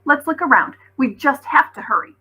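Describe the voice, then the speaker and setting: A woman's voice: high voice, expressive, moderate pace, pushed and bright, voice pushed up. A woman voicing a brave, determined young girl with urgent feeling in a children's storybook.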